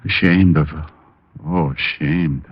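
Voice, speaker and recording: A man's voice: extremely low pitch, fairly even intonation, slow, no room sound, heavily acted. A man playing a wisecracking, cool-headed private detective in vintage radio drama style. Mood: feigned drowsy. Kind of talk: 1940s-50s radio drama acting with narration. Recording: muffled old radio recording with music.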